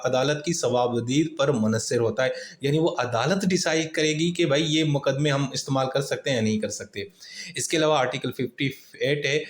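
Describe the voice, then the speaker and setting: A man giving an explanatory exam-prep lecture, restating each point in plain colloquial terms with everyday examples. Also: a little high. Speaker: law lecturer. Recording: roomy, echoing sound.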